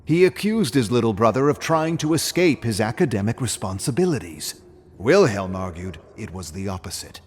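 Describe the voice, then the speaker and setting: A man narrating a scripted fairy tale as a storyteller, with a dramatic, measured delivery. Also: fairly low pitch, very animated, a little mellow, a little hiss or hum.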